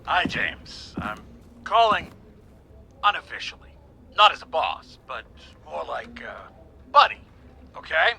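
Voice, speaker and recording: An actor playing an uneasy police boss in a video game who coaxes like a buddy, hesitant and evasive. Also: male voice, fairly high pitch, big pitch swings, slow pace, vocal fry, pauses often, sharp tone, breathy edge, full character voice. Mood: coaxing.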